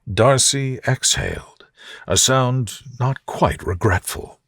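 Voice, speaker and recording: A man narrating a sleep story in a soft, gentle, soothing way. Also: mid-range voice, slow pace, no echo, studio quality. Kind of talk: soothing scripted bedtime narration.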